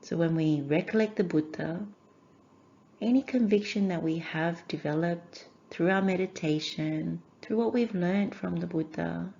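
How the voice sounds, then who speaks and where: A woman teaching a guided meditation in measured, gentle phrases with short pauses. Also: lowish voice, creaky, lo-fi sound.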